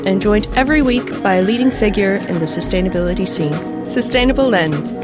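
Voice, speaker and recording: A woman's voice: medium pitch, fairly quick. A woman reading a scripted radio promo in a polished announcer delivery. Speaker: station announcer. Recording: voice over loud music.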